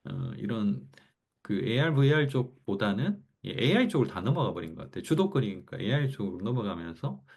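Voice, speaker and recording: A man's voice: fairly low pitch, very animated, quick pace, gravelly, darkish tone, slightly rough. A man with a Gyeongsang accent giving a casual online class lecture, thinking aloud in a loose, conversational way with frequent fillers. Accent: Gyeongsang Korean. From Gyeongsang region, Korea.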